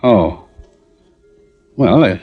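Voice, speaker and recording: An actor playing a suspicious, brusque small-time crook running a watch shop, in a 1950s radio drama. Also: male voice, lowish voice, expressive, very slow, husky, muffled recording, soft background music, full character voice. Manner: brusque, impatient.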